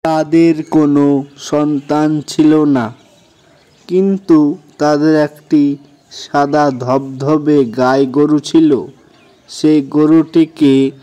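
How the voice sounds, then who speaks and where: Guy telling a kids story, really slow, soft dark tone. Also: on the high side, highs cut off. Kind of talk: scripted children's story narration.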